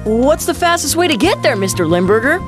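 A young boy adventurer, wry and sensible. The cartoon-game voice is high and animated, with music underneath. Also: brisk. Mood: reassuring.

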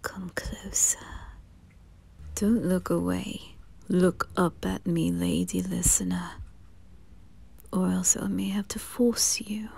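A woman's voice: fairly low pitch, fairly even intonation, takes it slowly, long pauses, mellow, exaggerated voice. A woman voicing a menacing, possessive male demon butler in a seductive, commanding ASMR roleplay whisper. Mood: possessive menace. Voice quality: breathy whisper.

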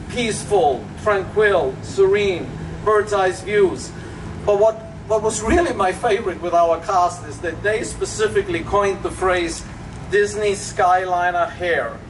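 A high-voiced man with an Austrian German accent gives an emphatic, enthusiastic dedication speech outdoors. He is picked up from a distance, with faint background noise under his voice. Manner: enthusiastic, emphatic, with frequent fillers. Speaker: resort executive giving a dedication speech. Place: outdoors at a public event.

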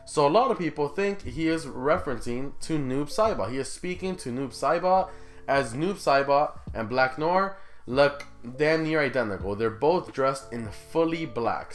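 A young man hosts a gaming video with casual, conversational commentary. He speaks at a middling pitch and puts informal questions straight to his viewers. Music plays behind his voice. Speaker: gaming YouTuber, host.

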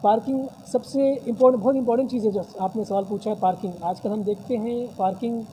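Man, press conference, very dark tone, formal promotional talk, faint hiss. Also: high-pitched, steady tone, fast talker, low-quality recording. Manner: formal, promotional, frequent religious phrases. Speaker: convention hall representative. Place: decorated hall at a press table. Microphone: many news mics on a table.

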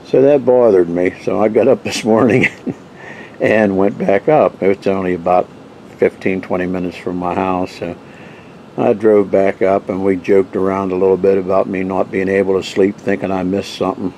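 A man with a very deep, dark voice talks in a slow, rambling way, often pausing and restarting as he describes his finds. He records near his garage on a camera mic, and a faint hum of air conditioning and passing cars sits behind him. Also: low-quality recording. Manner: rambling, relaxed storytelling with frequent uh's and restarts. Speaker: vintage camping gear collector showing finds. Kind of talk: casual show-and-tell narration.

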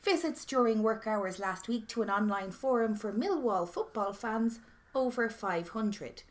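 Actress as dry, curt office boss. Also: female voice, on the high side, unhurried, natural voice. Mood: deadpan. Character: dry, curt, bossy female office manager.

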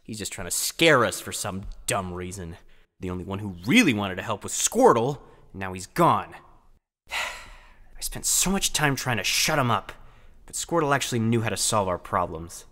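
A man's voice: lowish voice, lively intonation, normal speed, bright, forceful tone. A man voicing a small, impatient, sarcastic fire-lizard monster in a cartoon parody, blunt and exasperated. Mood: dejected. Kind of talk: animated cartoon parody acting. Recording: long reverb, music underneath.

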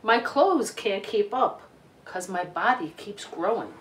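A middle-aged woman reading a picture book aloud to children in an expressive, deliberate way with emphasized words. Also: mid-range voice, slight background noise. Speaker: children's storytime reader. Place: living room couch, indoors. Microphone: camera a few feet away. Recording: roomy home recording, distant microphone.

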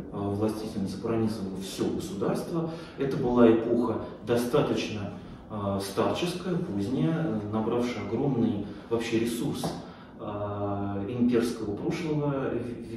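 Echoey, halting middle-aged man lecturing. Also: medium pitch, mellow. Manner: hesitant, halting, searching for words. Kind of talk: informal public lecture, talking off the cuff. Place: small indoor lecture room, far camera. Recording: echoey room, distant mic, faint noise.

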